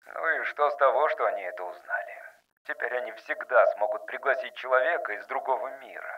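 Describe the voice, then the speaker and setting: A man's voice: mid-range voice, a little fast, recorded from a distance. Man as a bitter outcast over a radio filter. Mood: bitter, resigned. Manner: sullen, resentful, curt. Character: bitter, proud male outcast sewage worker. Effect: radio-like communicator filter. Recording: muffled, band-limited radio-like sound.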